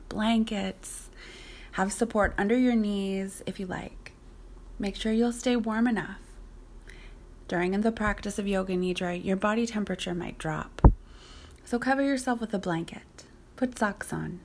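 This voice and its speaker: Soft, breathy woman guiding a meditation. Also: medium pitch. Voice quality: soft, breathy, often near-whispered. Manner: soothing, unhurried, gently instructive. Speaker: yoga nidra teacher. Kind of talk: guided meditation narration.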